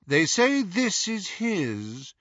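A man voicing a cold, sharp, haughty aristocratic witch mother in a storybook reading. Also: high-pitched, big pitch swings, drawn-out words, no echo, muffled recording, pitched above the performer's own voice, exaggerated voice. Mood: cautious, cold.

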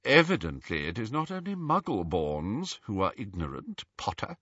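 A male narrator performs a sneering old professor living in a portrait, husky and swooping in pitch. He speaks with formal, indignant pomposity, as in a storybook read aloud. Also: sharp tone, no echo, highs cut off, exaggerated voice. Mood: sneering, weary.

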